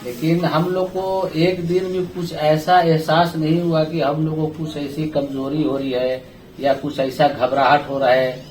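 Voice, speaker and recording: A man's voice: fairly high pitch, flat delivery, unhurried, a little mellow. A man giving a respectful account as a worker on a phone call, deferential and often adding "sir". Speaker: rescued tunnel worker, group spokesman. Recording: echoey room on speakerphone, clear noise.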